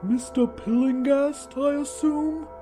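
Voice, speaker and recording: A man's voice: very high voice, fairly even intonation, slow pace, dark tone, voice pushed up. A man voicing a weird, frog-like little man who recruits for a secret party, speaking formally and probingly in an audiobook story, with music behind him. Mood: cryptic. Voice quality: weird, odd voice.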